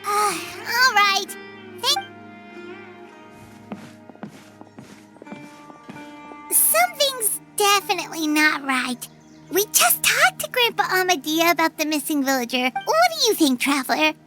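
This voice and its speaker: Excitable little fairy companion for a video game, childlike, extremely high squeaky voice, chirpy and expressive, lively melody. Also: female voice, normal speed, over music. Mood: puzzled. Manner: chirpy, expressive, thinking aloud. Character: small chatty excitable fairy girl companion.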